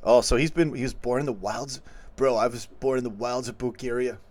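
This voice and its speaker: A man's voice: medium pitch, steady tone, brisk, darkish tone, no room sound. A man hosting a livestream, reading chat comments aloud and arguing back in a casual, combative way. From not yet been to Liverpool. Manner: argumentative, reacts to chat comments, laughs often.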